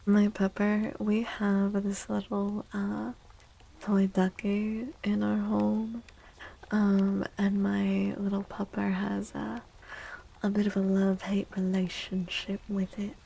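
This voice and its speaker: A woman livestreamer chatting in a soft, breathy ASMR style, gentle and unhurried, often dropping into whispers close to the microphone. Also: medium pitch, monotone, poor audio.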